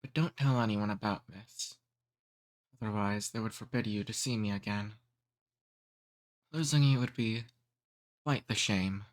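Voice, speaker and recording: Guy doing a flirty ancient vampire for asmr, real slow and teasing, kinda bossy but courtly, super dry close sound. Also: lowish voice, no echo, close to an everyday voice. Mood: tender, charming. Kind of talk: intimate ASMR roleplay.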